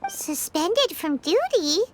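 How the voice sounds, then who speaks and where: A woman voicing a tiny, bubbly, nosy fairy companion in a video game, with a squeaky childlike voice and exclamatory manner. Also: very animated, takes it slowly, background noise, heavily acted. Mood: inquisitive. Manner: speaks of self in third person, exclamatory.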